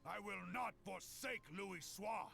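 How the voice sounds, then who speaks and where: A man playing a furious, burly army general in a video game, gruff and barking orders with curses. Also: sits high, slow, soft background music, full character voice. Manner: barking, forceful, cursing commands. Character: furious, burly male army general, brash and foul-mouthed. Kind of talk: dramatic video game acting.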